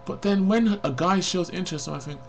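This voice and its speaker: A forty-year-old man speaks bluntly and reflectively into a webcam, his voice gravelly with vocal fry. He rambles in long confessional runs. A faint hum sits under the recording. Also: high voice, fairly quick. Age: 40s. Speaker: vlogger. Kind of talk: personal confessional vlog to camera.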